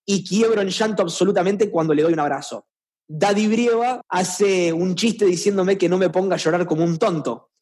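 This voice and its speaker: A man's voice: high-pitched, a little mellow, slightly rough. A young man from Rosario, Argentina, speaking with a Rosario accent, recounting a personal story in a candid, reflective way. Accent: Rosario Argentine Spanish. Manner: candid, reflective recollection with occasional laughter. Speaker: interviewee.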